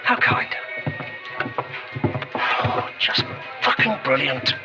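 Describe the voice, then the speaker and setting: A man's voice: mid-range voice, sing-song, very slow, loud music behind the voice. A man acting an irritable, sweary corporate executive at breaking point in a found-footage audio drama, snappish and sarcastic. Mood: exasperated. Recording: faint noise, found-footage recorder.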